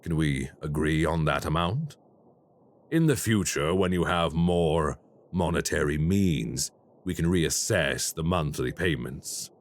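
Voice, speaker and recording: An actor playing a calm, formal male crime boss who speaks in a polite, measured, businesslike way in an audio roleplay. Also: very deep voice, full character voice. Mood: courteous.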